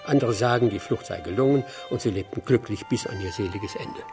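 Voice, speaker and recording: Curious male aide, actor-played, radio crime drama, gravelly and expressive, light music behind. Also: lowish voice, brisk, natural voice. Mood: curious, storytelling.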